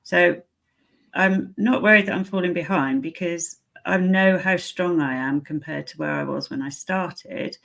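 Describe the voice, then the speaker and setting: Woman, gravelly fry in her voice, confident co-host, matter-of-fact. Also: lowish voice, measured pace, a bit bright. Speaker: podcast co-host. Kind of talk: casual chat.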